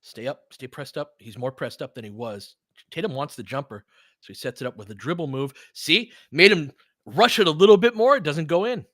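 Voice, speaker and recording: Bright-voiced man calling basketball like a fan. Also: on the high side, expressive, a little fast, dry recording, clean studio sound. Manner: informal, slangy, swearing, talks to players. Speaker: basketball fan play-by-play host. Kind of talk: casual live game commentary with fan reactions.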